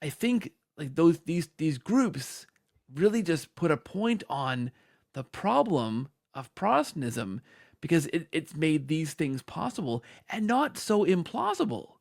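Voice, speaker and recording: A man's voice: a little high, big pitch swings, a little slow, vocal fry, no room sound, clean studio sound. A man hosting a conversational interview podcast, talking emphatically and often checking in with "right?". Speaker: podcast host.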